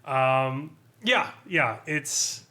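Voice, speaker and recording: A man's voice: medium pitch, animated, very slow, pushed and bright. An American man giving a casual podcast review with a dry, sardonic manner.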